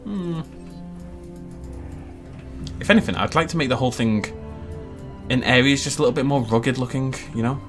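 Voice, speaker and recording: A man's voice: mid-range voice, moderate pace, long pauses, faint music behind. A man giving casual, chatty commentary while building a park in a video game, laughing often and making playful asides. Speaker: game channel host.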